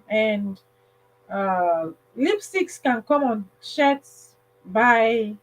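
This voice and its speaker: A woman's voice: fairly high pitch, low-quality recording. A woman hosting a casual livestream advice talk, speaking emphatically and repeating phrases for stress. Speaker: livestream host.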